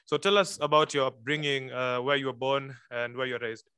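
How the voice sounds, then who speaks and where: A man's voice: medium pitch, steady tone, fairly quick, pushed and bright, clear, resonant tone, no echo. A man moderating a webinar interview, asking questions with frequent hesitations and fillers. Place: room at a lectern.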